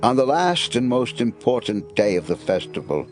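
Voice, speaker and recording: A man narrates a scripted Bible drama as a storyteller. His mid-pitched voice has lively, varied inflection, with music clearly playing behind it. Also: moderate pace.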